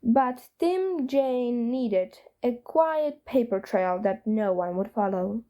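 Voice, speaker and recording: A woman's voice: a little high, expressive, slow pace, mellow. A woman reading a story aloud as a scripted narration. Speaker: fan fiction reader.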